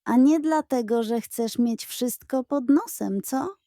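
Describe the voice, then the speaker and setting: Casting: storybook tomcat acted by a woman, sly, teasing, insinuating, lifted pitch. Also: slow pace, dark tone, no echo, studio quality. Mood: suspicious, teasing. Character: suspicious, teasing male cat, sly and mischievous.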